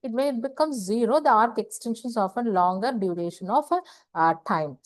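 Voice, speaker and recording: A woman gives a slide lecture, halting and repeating phrases, often asking questions and answering them herself. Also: on the high side, no echo, clean studio sound. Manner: halting, repetitive, asks and answers own questions. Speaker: engineering lecturer. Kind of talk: slide lecture explaining technical material.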